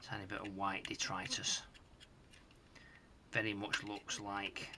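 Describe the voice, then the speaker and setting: A middle-aged British man giving casual hands-on repair commentary, musing aloud in a halting, hesitant way. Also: on the low side, completely flat delivery, background noise. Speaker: host of a retro tech repair channel. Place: home workshop loft.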